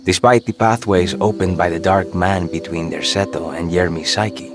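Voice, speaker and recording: An actor playing a serene, enigmatic author who serves as a guide in a video game, speaking in a measured, formal, philosophical way. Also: male voice, low voice, steady tone, music in the background. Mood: calm, reflective. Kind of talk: cinematic game dialogue.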